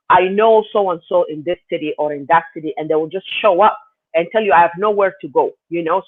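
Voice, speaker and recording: Man from South Sudan, rambling interview guest, raised pitch, tinny phone line. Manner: loose, meandering storytelling with many fillers. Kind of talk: casual interview answers. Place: living in Canada.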